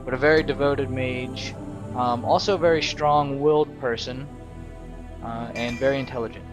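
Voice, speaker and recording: Male gaming host: unhurried, deliberate improvised story narration, medium pitch, music underneath. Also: fairly even intonation, muffled recording. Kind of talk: improvised role-play narration. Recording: loud new-age music behind the voice.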